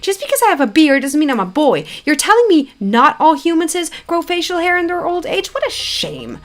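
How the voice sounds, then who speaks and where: A woman's voice: very high-pitched, very animated, moderate pace, pitched above the performer's own voice. Woman reading as a huffy little old female creature. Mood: indignant. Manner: indignant, haughty. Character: small bearded old female goat-like creature, huffy and proud. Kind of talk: game dialogue read aloud.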